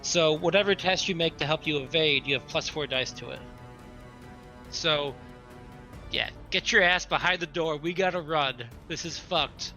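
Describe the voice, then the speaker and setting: This is a man at a tabletop game, speaking casually and assertively as a player. His voice is a little high and bright. Light music plays behind him. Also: moderate pace.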